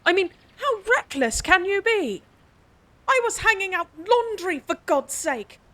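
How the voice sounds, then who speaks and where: A woman voicing a stern, prim noblewoman attendant in a fantasy audio drama, sharp and scolding. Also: extremely high pitch, no room sound, exaggerated voice. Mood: exasperated. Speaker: princess's attendant.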